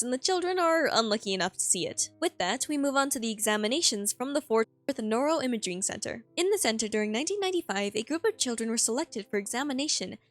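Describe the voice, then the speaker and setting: A woman narrating a scripted commentary video, recapping and explaining in a conversational way with small personal asides. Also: a little high. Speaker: narrator of a horror series analysis video.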